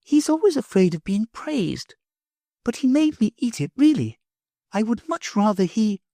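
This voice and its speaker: Woman reading as a timid little girl, high lifted voice, soft and pleading, dry close sound. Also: very animated, unhurried, no room sound, exaggerated voice. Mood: tender. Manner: soft, pleading, wide-eyed. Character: gentle, earnest little girl, tender and timid. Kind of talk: audiobook character voice.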